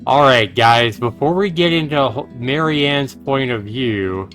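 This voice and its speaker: A man streams a visual novel, reading the text aloud at an unhurried pace. His delivery turns dramatic for the story and casual for his asides. Game music plays behind him. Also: mid-range voice, a bit bright.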